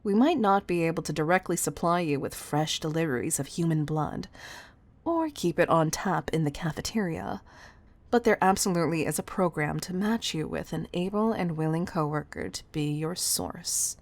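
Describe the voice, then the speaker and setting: A woman playing a stern, commanding chief executive in a scripted audio roleplay, exasperated and deliberate in her emphasis. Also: deep voice. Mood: exasperated, scolding. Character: stern, commanding female CEO scolding an employee.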